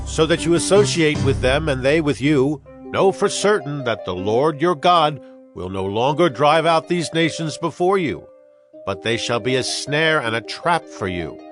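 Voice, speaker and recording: A man's voice: medium pitch, distant mic, lightly acted. Solemn aged leader played by a man, music behind. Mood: stern warning. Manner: solemn, declamatory, emphatic. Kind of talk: dramatized scripture oratory.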